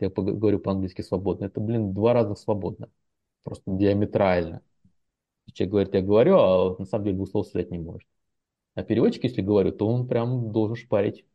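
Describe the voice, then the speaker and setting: A man's voice: lowish voice, vocal fry, dull audio. A man, a professional translator, talking casually as an interview guest, telling stories loosely with fillers and restarts. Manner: relaxed storytelling with many fillers and restarts.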